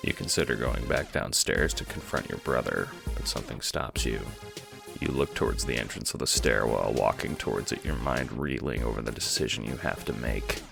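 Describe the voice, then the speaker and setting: Super deep gravelly guy narrating an audio drama, music in the back. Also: normal speed. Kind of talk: scripted second-person audiobook narration.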